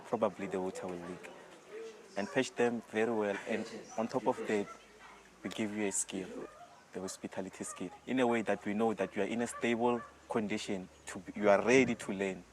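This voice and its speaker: Young South African man, lowish voice. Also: poor audio. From rural South Africa. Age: early 20s. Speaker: youth retreat volunteer. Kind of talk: interview-style personal testimony.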